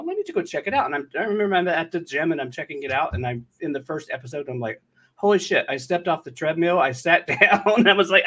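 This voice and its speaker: A man with a fairly high voice talks casually as an interview guest. He is a bit hesitant and modest in his delivery, feeling his way through his answers. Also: sharp tone. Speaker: guest, audio drama writer and voiceover worker.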